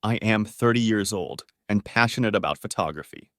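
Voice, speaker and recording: A man teaching beginner English, reading short model sentences clearly and saying each one twice. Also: fairly low pitch, no echo, studio quality. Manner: says each phrase twice, clearly and deliberately.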